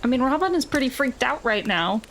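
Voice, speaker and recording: A woman's voice: high-pitched, very animated, a little fast, a bit bright, a little hiss or hum. A woman playing a tabletop role-playing game, chatting casually as she describes her character's moves.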